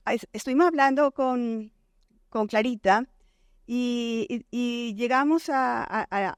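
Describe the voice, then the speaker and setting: A woman's voice: fairly high pitch, no room sound, clean studio sound. Colombian woman moderating a conference panel. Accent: Colombian, softened by years in Chile. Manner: hesitant, many fillers and restarts. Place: conference stage.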